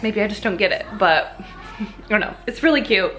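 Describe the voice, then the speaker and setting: A woman's voice: mid-range voice, lively intonation, normal speed, bright, forceful tone, faint music behind, rough recording. A woman in her thirties chatting casually as a home vlogger, in a rambling, conversational way. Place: indoors at home.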